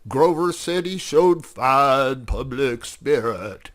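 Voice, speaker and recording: A man's voice: mid-range voice, expressive, slow, a bit bright, pitched above the performer's own voice. A man voicing an earnest, suspicious male fox in a story, confiding news in a folksy way. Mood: puzzled.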